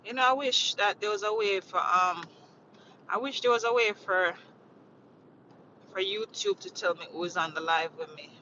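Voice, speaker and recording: A woman's voice: medium pitch, moderate pace, pauses often, pushed and bright, highs cut off, slight background noise. A woman from Jamaica, chatting casually on a livestream, rambling and repeating herself as she greets viewers from inside a vehicle. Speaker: livestream host. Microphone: phone mounted on dashboard.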